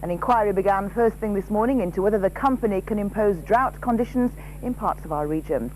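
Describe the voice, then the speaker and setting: A woman's voice: mid-range voice, fairly quick, a little mellow, poor audio. A woman presenting regional news, reading a scripted bulletin in a TV studio. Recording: faint hum and noise.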